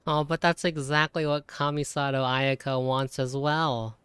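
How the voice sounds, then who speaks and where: A man's voice: fairly high pitch, fairly even intonation, moderate pace, a bit bright, clean tone, dry recording. A young man giving casual, slangy live reaction commentary while streaming a video game.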